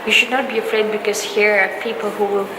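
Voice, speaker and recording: A woman's voice: mid-range voice, flat delivery, quick pace. A young woman from Belarus with an Eastern European accent chats casually, encouraging and reassuring listeners as an exchange student giving advice. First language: not English; Polish is second language. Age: young adult. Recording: echoey hall with loud background noise.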